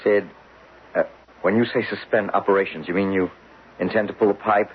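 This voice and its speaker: An actor playing a desperate, obsessed geologist in an old radio drama, speaking with insistent intensity. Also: male voice, fairly low pitch, fairly even intonation, brisk, slightly rough. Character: obsessed, desperate male geologist urging belief in his discovery. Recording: muffled, hissy old radio recording.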